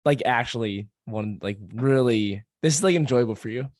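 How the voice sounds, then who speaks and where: A man hosting a casual podcast, a bit hoarse from screaming, who laughs a lot, swears freely and jokes around. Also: mid-range voice, normal speed, darkish tone, no room sound, clean studio sound. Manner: laughs often, swears freely, loose joking delivery. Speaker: podcast host.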